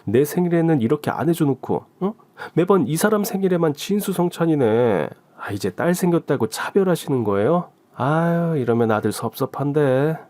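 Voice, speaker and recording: A man's voice: on the high side, lively intonation, normal speed, hardly any pauses, darkish tone, lightly acted. A man playing a teasing, mock-jealous middle-aged husband in a radio story, with casual grumbling banter. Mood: mock sulking. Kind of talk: Korean radio story acting.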